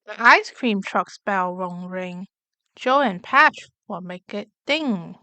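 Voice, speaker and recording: Female children's story reader: unhurried, smooth and clear, expressive, carefully enunciated for little listeners, dry close sound. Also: medium pitch, no echo. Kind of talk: expressive read-aloud of rhyming picture book.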